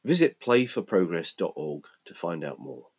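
A man hosting a podcast, reading his scripted links in a measured, reflective way, with an English-sounding accent despite growing up in Scotland. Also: low voice, big pitch swings, slightly rough. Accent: English-sounding, not Scottish. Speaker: podcast host.

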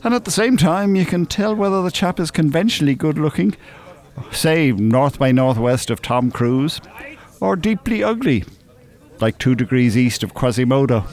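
A worldly older Irishman in a radio play. He is dry, teasing and opinionated, with a lively rise and fall in his voice and a blunt, cynical streak. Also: on the high side, moderate pace. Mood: cynical, explaining. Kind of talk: naturalistic radio drama acting.

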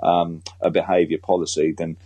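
A man's voice: sits low, little pitch movement, normal speed, dark tone, dry recording. A British man, a teacher being interviewed, talking earnestly and emphatically in a conversational way. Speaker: school teacher and author, interview guest.

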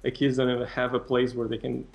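Gravelly young male podcast guest. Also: medium pitch, completely flat delivery, highs cut off. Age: 20s. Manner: halting, restarts often, hesitant. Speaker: podcast guest, concept artist. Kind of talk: casual chat.

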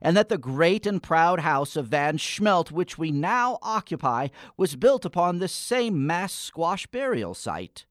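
A man's voice: on the high side, sing-song, unhurried, few pauses, no room sound, studio quality. A man playing a morose, skeptical boy in a comic gothic radio play, with a formal, wordy, exasperated manner.

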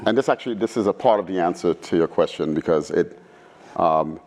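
A middle-aged man from Trinidad who later lived in New York, answering interview questions in an informal, halting, thoughtful way. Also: low-pitched, a little mellow. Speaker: guest speaker, physics professor. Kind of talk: conversational interview answers, reminiscing.

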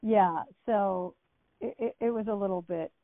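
A woman's voice: mid-range voice, a little slow, no echo. Rambling woman, actress guest recalling her career, tinny phone line. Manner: chatty, rambling, many fillers and restarts. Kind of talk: casual interview talk.

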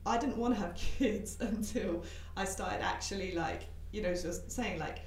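Young woman guest, lively and laughing, off-mic in an echoey room with faint hiss. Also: medium pitch, unhurried, distant mic. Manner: lively, laughs while talking. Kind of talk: casual chat.

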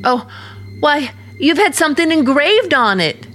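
A woman's voice: high voice, sing-song, unhurried, a bit bright, soft background music, full character voice. A woman playing an earnest, curious young niece in a radio drama, speaking insistently. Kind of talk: mid-century American radio drama acting.

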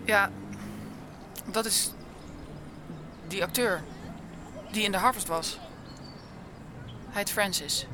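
Casting: actress as young woman, freedom-hungry, wistful then defensive, mid pitch, audio drama, noisy background. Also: slow, long pauses, natural voice. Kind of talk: dystopian audio drama acting.

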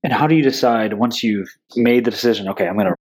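A man hosts a podcast, asking curious follow-up questions in a low voice. His questions come in brief, direct turns. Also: fast talker, a little mellow, husky. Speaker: podcast host. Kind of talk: interview questions.

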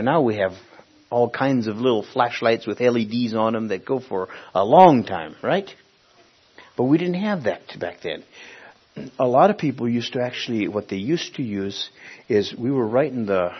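Husky-voiced male preacher from Honduras. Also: medium pitch. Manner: conversational, halting, searching for words. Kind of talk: sermon with personal storytelling. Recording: dull, muffled lo-fi recording.

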